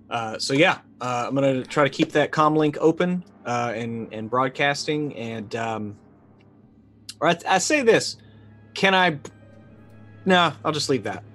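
A middle-aged man playing a tabletop roleplaying game, improvising casually and haltingly as he thinks aloud. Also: medium pitch, lively intonation, fairly bright tone, soft background music.

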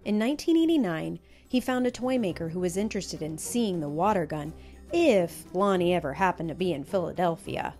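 A woman reading a children's picture book aloud in an expressive, emphatic storytelling manner. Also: lowish voice, a little fast, faint music behind.

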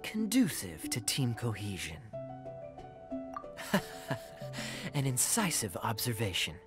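A man playing a suave, sly young knight in an anime video game, smooth and wry, with playful irony. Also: medium pitch, wildly swooping pitch, slow. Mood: teasing. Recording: music playing behind the voice.

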